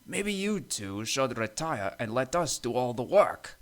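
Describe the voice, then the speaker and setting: A man's voice: mid-range voice, sing-song, a little slow. A man voicing a cocky, grumpy little engine who likes to tease, in a children's story. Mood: teasing.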